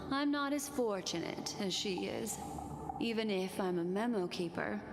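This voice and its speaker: A woman's voice: mid-range voice, lively intonation, normal speed, vocal fry. An actress playing an elegant, enigmatic female memory keeper in a video game, speaking in a poised, formal manner. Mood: calm, confiding. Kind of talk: scripted video game dialogue. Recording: reverberant hall, music behind.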